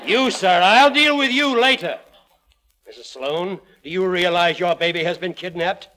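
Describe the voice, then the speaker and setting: A man's voice: high voice, moderate pace, a crowd in the background, background noise, lightly acted. A man playing a pompous, blustering magazine publisher in 1940s radio comedy style, easily outraged and declamatory. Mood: furious, appalled.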